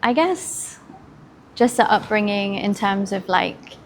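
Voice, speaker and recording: A woman's voice: mid-range voice, lively intonation, takes it slowly. A woman with a British accent, chatting casually as an interview guest in an informal, upbeat, open manner. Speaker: podcast guest, fashion designer. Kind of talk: casual conversational chat. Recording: faint background ambient noise.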